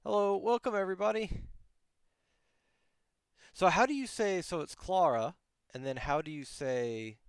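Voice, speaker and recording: A man's voice: high voice, a little slow, long pauses, clear, resonant tone, dry recording, clean studio sound. A man hosting a live-streamed podcast interview, rambling casually with many restarts and frequent laughs. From outside Germany. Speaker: podcast host.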